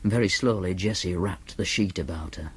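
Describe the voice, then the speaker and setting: A man's voice: low voice, moderate pace, breathy edge. An actor narrating in first person as a young man with a Northern English accent, telling the story in a dry, matter-of-fact way. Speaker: narrator and main character.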